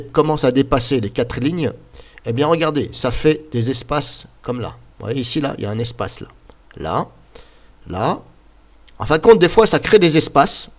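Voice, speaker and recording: Male YouTuber, slight cold, rambling casual explainer, middle pitch. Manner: rambling, chatty, full of "bah" and "voilà", points at the screen. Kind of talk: casual screen-recording explainer.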